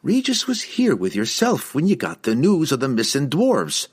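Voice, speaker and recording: A man voicing a firm, sharp-tongued young woman in an audiobook, assertive and rustic in her speech. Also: fairly high pitch, wildly swooping pitch, normal speed, breathy edge, voice pushed up, full character voice. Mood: challenging.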